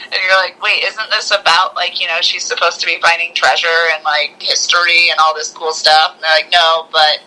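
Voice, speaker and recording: A woman co-hosting a podcast, chatting informally about movies with halting starts and bits of mimicked dialogue. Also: on the low side, flat delivery, bright, forceful tone, rough recording. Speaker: podcast co-host. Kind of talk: casual movie review chat.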